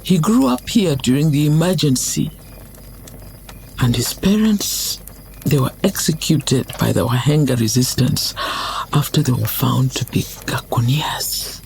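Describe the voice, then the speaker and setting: A slow-spoken wise old elder. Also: male voice, medium pitch, gravelly, darkish tone, slight background noise, low-quality recording. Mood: grave, reflective. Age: older. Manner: measured, reflective storytelling. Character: wise old community leader, solemn guardian of his land. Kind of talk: dramatic motion comic acting.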